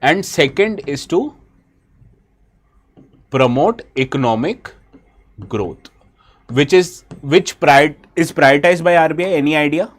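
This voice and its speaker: A man's voice: fairly high pitch, a little slow, pauses often. A man with an Indian English accent, who has a bad cold, gives a live exam-prep lecture and keeps asking his students questions. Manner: asks students questions, switches briefly into Hindi.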